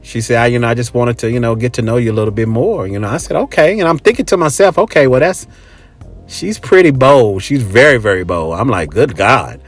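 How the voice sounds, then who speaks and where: A middle-aged man hosts a casual monologue in an ordinary mid-pitched male voice. He speaks bluntly and conversationally, leaning on his points for emphasis. Music plays behind his voice. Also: expressive, brisk. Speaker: host.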